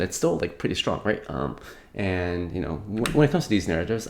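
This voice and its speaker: Low-voiced young man, rambling. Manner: rambling, heavy fillers and restarts. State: slightly sick. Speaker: crypto market commentator. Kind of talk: casual market update monologue.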